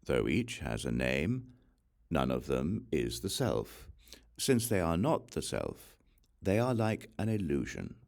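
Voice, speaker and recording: A man with an extremely deep voice reads scripture slowly and solemnly. His tone has a creaky, gravelly grain, and he narrates in measured, scripted phrases. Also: wildly swooping pitch, darkish tone. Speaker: audiobook reader.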